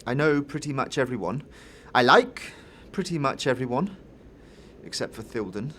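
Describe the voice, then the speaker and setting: A man's voice: medium pitch, expressive, normal speed, a bit bright, a little hiss or hum, pitched above the performer's own voice, lightly acted. A man voicing a nervous, scruffy young man with a hesitant, stammering delivery in a fantasy audio drama. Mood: sullen.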